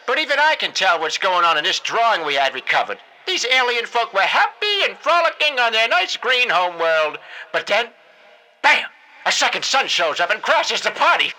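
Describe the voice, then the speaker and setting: A man's voice: high-pitched, bright, forceful tone, heavily acted, poor audio. A man playing a folksy, wisecracking older spaceship mechanic in a video game, with a colloquial, jocular delivery. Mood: amused storytelling. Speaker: mission briefer and equipment handler. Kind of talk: animated character voice-over for a video game.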